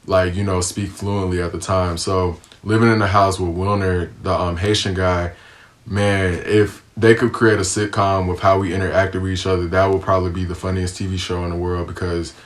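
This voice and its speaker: A man's voice: low voice, flat delivery, moderate pace. A young American man telling a casual storytime vlog, laid-back and slangy, with lots of ums. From United States. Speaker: vlogger.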